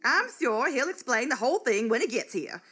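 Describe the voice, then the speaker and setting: An actress playing a doting, easily indignant country mayor's wife, folksy and emphatic, in live comic radio theatre. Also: female voice, high voice, very animated, brisk, pushed and bright, husky, heavily acted.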